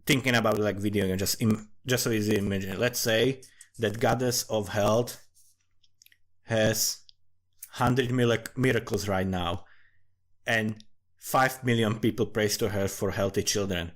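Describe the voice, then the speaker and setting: A man's voice: on the low side, fairly even intonation, unhurried. A man with a Central European accent giving a casual, rambling, sweary monologue that drifts from one thought to another. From Central Europe, small country. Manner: informal, sweary, digressive. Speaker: amateur fantasy writer recording commentary.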